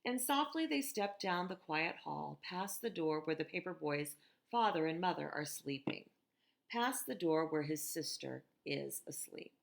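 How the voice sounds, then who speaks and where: An American woman reading a picture book aloud to children in a gentle, expressive teacher's manner, with conversational asides. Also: low-pitched, vocal fry. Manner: gentle, expressive, asks listeners questions.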